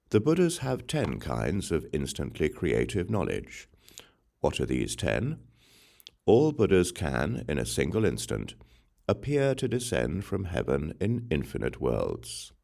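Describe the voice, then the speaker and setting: Male audiobook narrator for scripture: very deep, creaky register, solemn and measured. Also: a little mellow.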